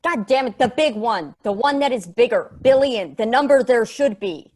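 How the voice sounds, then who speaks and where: A woman's voice: fairly high pitch, expressive, moderate pace, a little mellow. A woman playing a sardonic, passionate space probe in a table read, casual, rambling and sweary. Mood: exasperated. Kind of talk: conversational acting in a script table read.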